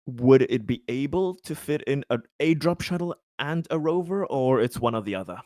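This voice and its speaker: A man's voice: mid-range voice, expressive, normal speed, darkish tone, no echo, clean studio sound. A man playing a tabletop game, casually discussing options and searching for his words as he goes.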